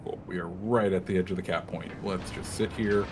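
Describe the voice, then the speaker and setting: Laid-back low-voiced streamer man. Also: steady tone, fairly quick, background noise. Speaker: streamer host. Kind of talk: casual gameplay commentary.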